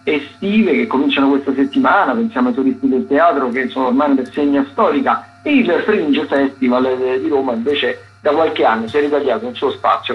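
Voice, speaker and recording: A man's voice: medium pitch, dull audio, slight background noise. A man giving a conversational radio round-up of events as a regular critic, chatty and digressive.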